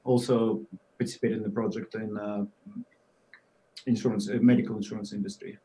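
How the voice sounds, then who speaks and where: A man in his forties speaks with a Russian accent and a dark, mellow tone. He explains things haltingly, with plenty of uhs and restarts, and his voice sinks quieter as sentences trail off. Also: fairly low pitch, flat delivery, poor audio. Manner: halting, many fillers and restarts, drifts quieter at phrase ends. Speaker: guest software engineer. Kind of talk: podcast interview answers.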